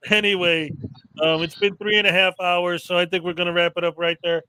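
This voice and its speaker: A man's voice: high voice, flat delivery, fairly quick, bright, forceful tone, clean tone, low-quality recording. A man hosting a casual livestream show, upbeat and rambling, with lots of fillers. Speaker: livestream host.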